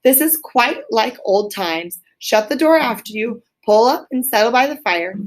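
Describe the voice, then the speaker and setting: A woman voicing an eager, anxious male mole from a storybook, homely and excitable, with a fretful, exclamatory manner. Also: fairly high pitch, unhurried.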